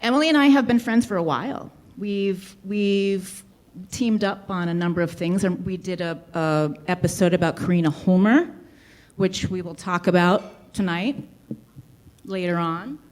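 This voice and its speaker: A woman's voice: lowish voice, moderate pace, a little mellow. Chatty Boston woman hosting live. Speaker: podcast host. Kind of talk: live podcast show, casual talk. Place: brewery, live audience.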